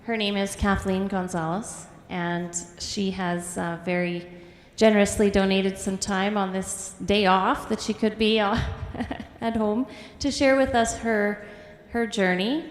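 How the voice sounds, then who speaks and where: A woman introducing the next speaker at an event, slightly halting with fillers. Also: medium pitch, steady tone. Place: large hall. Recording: echoey hall with long reverb.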